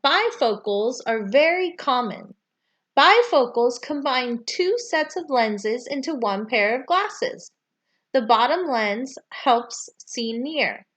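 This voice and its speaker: Woman, high lilting voice with lively intonation, children's book read-aloud, deliberate emphasis.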